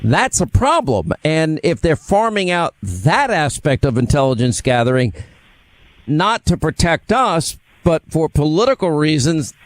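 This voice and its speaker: A man's voice: a little high, no echo, poor audio. Emphatic male talk host, dry sound. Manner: emphatic, persuasive, leading questions. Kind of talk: interview talk.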